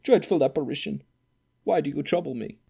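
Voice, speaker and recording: A man's voice: on the high side, vocal fry, mellow, husky, pitched above the performer's own voice, full character voice. A man voicing a frightened old male miser who pleads and blusters, raising his voice in a storybook reading. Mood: agitated. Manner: agitated, quick to raise his voice.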